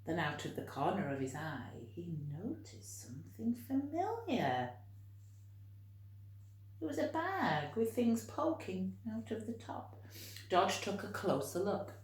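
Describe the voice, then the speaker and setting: A woman teacher reading and talking to young children in an expressive, encouraging way. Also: mid-range voice, slow pace. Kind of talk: storytime read-aloud and teaching for young children. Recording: roomy, echoing home recording.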